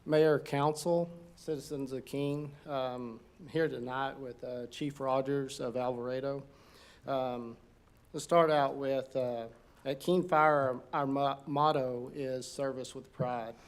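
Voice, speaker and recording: A man, a fire captain, reading a formal incident report at a council meeting, steady with some halting fillers. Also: medium pitch. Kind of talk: formal prepared speech. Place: council chamber.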